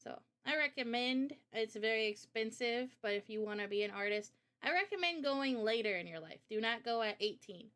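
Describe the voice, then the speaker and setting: A woman's voice: on the high side, animated, normal speed, hardly any pauses, a bit bright. A woman chatting casually on a livestream Q&A in a giggly, informal way, as the host. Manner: giggly, laughs often while talking. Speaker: host and visual novel developer.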